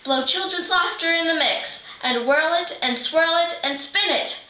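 An eager storybook witch, acted out by a teenage girl, chants spells with dramatic pride. The voice is high and bright, heard a little away from the mic in a roomy living room. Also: unhurried, heavily acted. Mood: chanting. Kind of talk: readers' theater script reading. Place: living room, far camera microphone. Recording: roomy, distant microphone.

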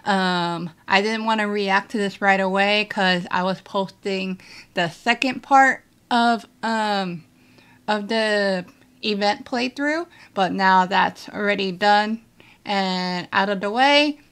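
Slow, relaxed young woman reacting. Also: mid-range voice, clear, resonant tone. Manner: relaxed, hesitant, many fillers. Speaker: reaction video creator. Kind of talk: casual reaction chat. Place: small bedroom streaming setup.

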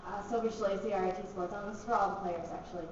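A woman's voice: medium pitch, fairly even intonation, rapid-fire. A woman reporter asking the players a direct question at a press conference. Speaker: student sports reporter. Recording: echoey, distant, dull, noisy.

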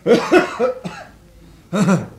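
A man's voice: high voice, huge pitch swings, drawn-out words, exaggerated voice. An actor playing an evasive middle-aged father who coughs and hesitates in a radio drama. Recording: noisy old radio play recording.

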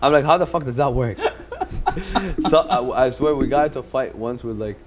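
A man and head chef, originally from Saudi Arabia, telling stories on a podcast in an animated way with casual swearing, recorded on a boom mic with headphones in a studio. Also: normal speed, noisy background. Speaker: head chef and restaurant co-owner guest. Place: lounge-styled podcast studio. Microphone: headset and boom mic.

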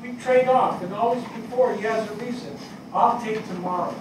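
An older man playing a grieving, bewildered fire captain in a staged dramatic reading, speaking plainly and haltingly. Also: extremely high pitch, distant mic. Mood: bewildered grief. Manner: plain-spoken, halting, searching. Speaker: actor in a staged play reading. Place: small indoor theatre stage before an audience. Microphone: distant camera mic. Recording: echoey stage picked up from afar, noisy.

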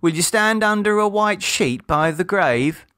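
A cold, unforgiving child from a storybook, as a male reader puts it on. The voice is raised high and makes its demands solemnly and without pity. The sound is dry. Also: animated, unhurried, sharp tone, clear, resonant tone, no echo, heavily acted. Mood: pitiless, demanding. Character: stern, unforgiving children with inexorable cold logic. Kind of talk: dramatised storybook reading.

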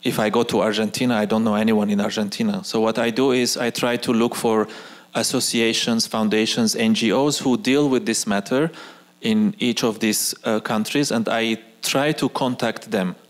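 A man in his forties, a native Arabic speaker, speaking on an auditorium stage as a guest artist, pausing and restarting often. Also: on the low side, steady tone. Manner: hesitant, frequent restarts. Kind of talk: onstage conversation. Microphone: handheld mic.

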